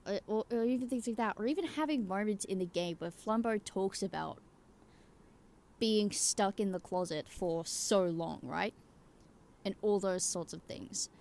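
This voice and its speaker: A woman's voice: mid-range voice, measured pace, gravelly, a little mellow. A woman giving casual, rambling opinion commentary in an emphatic way, swearing casually and checking in with the listener. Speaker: YouTuber giving game opinions.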